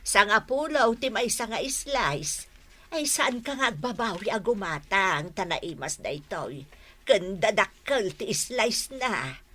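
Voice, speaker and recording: A woman's voice: mid-range voice, expressive, slow pace, pushed and bright, full character voice. A woman acting a friendly, chatty older food vendor in a comic radio drama, with an Ilocano Filipino accent. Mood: coaxing.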